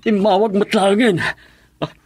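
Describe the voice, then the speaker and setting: A worried husband in an ilocano radio drama, raspy, a bit high, earnest. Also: male voice, fairly even intonation, a little slow, a little mellow. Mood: anxious, excited. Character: worried, devoted husband and father.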